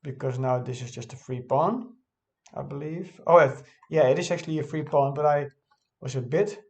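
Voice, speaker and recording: A man's voice: fairly high pitch, moderate pace, gravelly, darkish tone, dull audio. A man who is not a native English speaker, casually thinking aloud as he reviews his own game, hesitant and often correcting himself.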